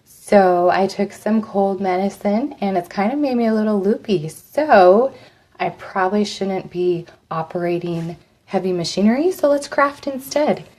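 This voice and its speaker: A woman with a cold and a slightly raspy voice, chatting through a live step-by-step craft demo, her voice dropping off as she leans away from the mic to work. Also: mid-range voice, expressive, a little slow, a little mellow. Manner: chatty, step-by-step instructions with fillers. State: hoarse from a cold. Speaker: craft host.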